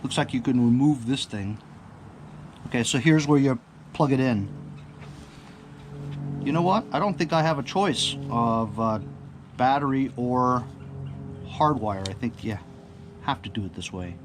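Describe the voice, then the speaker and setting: A man talks through a product review off the cuff, with ambient noise behind him. He rambles as he thinks aloud and often turns to the viewer with small questions. His pitch sits in an ordinary middle range for a man. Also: measured pace, long pauses. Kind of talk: casual unscripted unboxing chat. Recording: clear background ambient noise.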